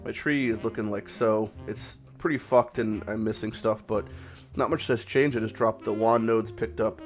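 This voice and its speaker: A man with a slightly low voice, made raspy and hoarse by a cold, talks through his game in a loose, rambling way, dropping the odd swear word and correcting himself mid-sentence. A little background music plays quietly under him. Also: steady tone, normal speed. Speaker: gaming channel host. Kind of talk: casual game commentary vlog.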